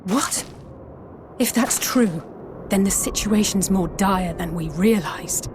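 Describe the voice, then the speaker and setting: An actress playing an earnest adventurer who reports grim findings with growing urgency in a game cutscene. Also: female voice, mid-range voice, slow, natural voice. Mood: urgent. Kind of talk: video game cutscene acting. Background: orchestral music.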